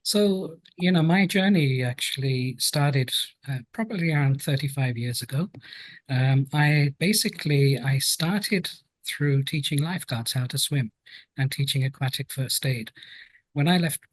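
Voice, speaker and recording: A man in his fifties with a British accent, telling his story in a measured, reflective way as an interview guest. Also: medium pitch, dry recording, low-quality recording. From United Kingdom. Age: 50s. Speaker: guest, health and safety professional.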